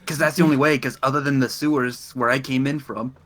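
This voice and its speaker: A man's voice: mid-range voice, steady tone, fast. A man chatting casually as a player in a tabletop game. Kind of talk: casual group game chat. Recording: faint music under the voice.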